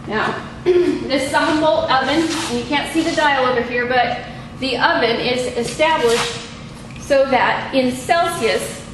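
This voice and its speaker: A middle-aged woman instructor giving an unscripted, methodical lab demonstration in a halting way, picked up by a camera a few feet away in a small room. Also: high voice. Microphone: camera mic a few feet away. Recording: echoey room with clear background noise.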